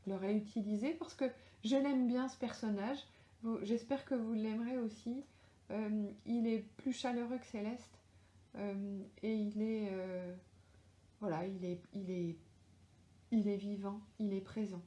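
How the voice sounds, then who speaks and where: A woman's voice: medium pitch, fairly even intonation, measured pace, dark tone, reverberant. A middle-aged woman with a strong French accent, chatting casually with readers in a live session from her home, with many fillers and restarts. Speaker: author answering readers live. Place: home, lives in Australia.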